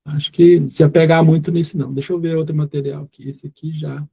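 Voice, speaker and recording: A man's voice: a little high, little pitch movement, fast, gravelly, mellow, breathy edge. A middle-aged man with a Goiás accent, teaching an informal class and rambling, with many fillers and restarts. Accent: Goiás Brazilian Portuguese. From Goiás, Brazil. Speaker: course instructor.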